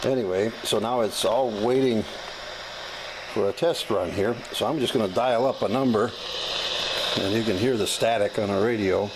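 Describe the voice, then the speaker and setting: Low-voiced folksy American man, noisy recording. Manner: folksy, plain-spoken, halting. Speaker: hobbyist demonstrating a vintage gadget. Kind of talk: casual unscripted demonstration. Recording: clearly noisy amateur recording.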